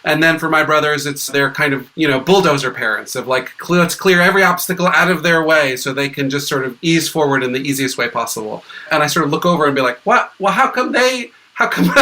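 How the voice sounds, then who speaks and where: A man's voice: on the high side, expressive, a little fast, hardly any pauses, pushed and bright. A man telling anecdotes casually as a guest in a panel conversation.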